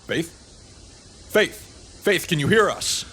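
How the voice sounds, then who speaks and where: A man's voice: a little high, lively intonation, slow pace, heavy background noise. A man playing a sarcastic, exasperated news co-anchor in a radio audio drama. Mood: alarmed, exasperated. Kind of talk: radio newscast acting.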